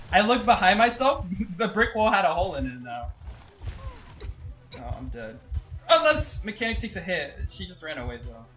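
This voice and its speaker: Young man, game streamer, higher voice, excitable commentary, quick laughs. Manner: excitable, laughs often, swings from loud disbelief to low mutters. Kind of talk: live gameplay commentary.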